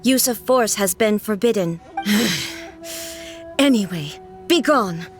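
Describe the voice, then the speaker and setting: A woman's voice: medium pitch, animated, slow. A woman voicing a cold, imperious female ruler in a video game, speaking in stern, formal, clipped phrases. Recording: game music behind the voice.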